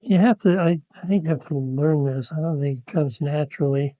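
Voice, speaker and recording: A man on a devotional panel giving slow, halting, thoughtful answers with many pauses. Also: a little high, warm tone, dry recording. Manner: hesitant, measured, many pauses and fillers.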